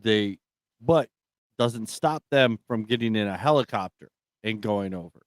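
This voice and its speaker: A man's voice: fairly low pitch, animated, a little slow, dry recording. Guy on a talk radio show, blunt n sweary, casual opinions, really dry sound no room. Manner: blunt, profane.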